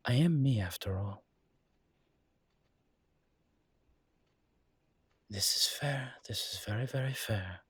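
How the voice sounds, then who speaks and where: Man playing a husky, suave demon professor. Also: on the low side, no room sound. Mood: teasing, self-assured. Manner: courtly, teasing, hesitant pauses, formal wording. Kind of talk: intimate audio roleplay addressed to listener.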